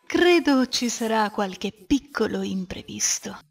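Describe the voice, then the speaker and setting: A woman's voice: lively intonation, slow pace, gravelly. A woman playing a cool, determined adventurous archaeologist in a fan-dubbed game cutscene, with a dry, defiant manner. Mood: commanding, threatening. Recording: low-quality amateur dub, faint noise.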